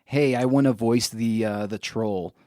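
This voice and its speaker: A man's voice: mid-range voice, a little slow, a little mellow, dry recording, studio quality. A man hosting a podcast in casual chat, asking informal, playful questions and chiming in. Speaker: podcast host, interviewer.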